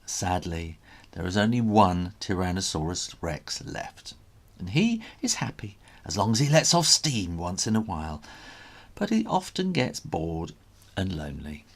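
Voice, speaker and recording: Slow, animated, gravelly male storyteller. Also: sits low. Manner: dramatic, expressive delivery. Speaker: children's author reading own story. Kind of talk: animated read-aloud storytelling for children.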